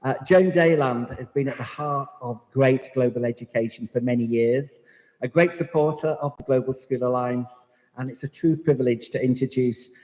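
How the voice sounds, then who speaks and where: Effusive man hosting in a hall. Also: medium pitch. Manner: effusive, warm introductions. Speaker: event host. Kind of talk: formal event hosting speech. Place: large hall. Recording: hall reverb.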